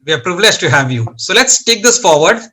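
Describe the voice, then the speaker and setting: High, bright Indian-accented male host. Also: big pitch swings, fast. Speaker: show host and interviewer. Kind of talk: formal scripted introduction. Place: indoors, on a video call.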